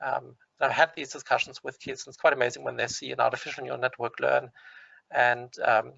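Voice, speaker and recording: A man's voice: medium pitch, little pitch movement, a little fast, gravelly, sharp tone, no echo. A man with a German accent presenting a teaching webinar, explaining with frequent restarts and fillers. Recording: dull lo-fi dry webinar audio.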